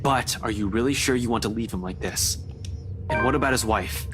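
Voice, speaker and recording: An actor playing a determined, urgent investigator in a horror visual novel, terse, firm and questioning. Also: male voice, mid-range voice, fairly quick, over music, natural voice. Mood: persuasive. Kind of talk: dramatic visual novel voice acting.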